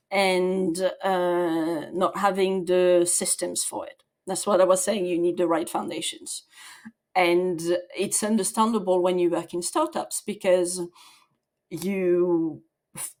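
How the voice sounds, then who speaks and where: A woman in her forties from the south of France, speaking as a podcast guest, thoughtful and quick to laugh. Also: lowish voice, darkish tone. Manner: thoughtful, laughs easily, restarts sentences. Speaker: guest, people and operations leader. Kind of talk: podcast interview.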